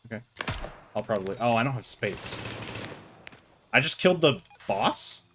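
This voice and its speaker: Streamer man, mid pitch, rambly and laughing, headset mic. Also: animated, moderate pace, bright, forceful tone, a little hiss or hum. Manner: rambling, self-correcting, laughs often. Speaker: streamer hosting a co-op game session. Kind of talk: casual gaming chat with friends.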